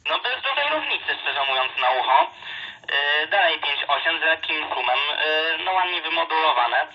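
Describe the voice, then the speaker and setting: A man's voice: fairly high pitch, lively intonation, moderate pace. A man chatting casually and a bit hesitantly as an amateur radio operator, heard through a two-way radio. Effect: two-way radio transmission. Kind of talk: casual ham radio contact. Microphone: phone recording a handheld radio's speaker. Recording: dull radio speaker recorded by phone.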